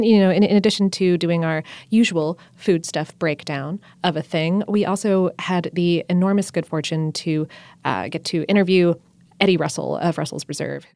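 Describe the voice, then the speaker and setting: A woman co-hosting a food podcast, enthusiastic and quick to laugh, sometimes stumbling over her words. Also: on the low side, darkish tone, no room sound. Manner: enthusiastic, laughs often, a little halting. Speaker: podcast co-host. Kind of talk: casual chat.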